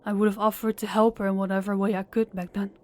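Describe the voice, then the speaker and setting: A woman's voice: mid-range voice, little pitch movement, quick pace, darkish tone, natural voice. A woman playing a desperate, deferential young debtor. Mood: pleading. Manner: formal and deferential, pleading. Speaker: voice actor and channel host. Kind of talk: audio roleplay acting.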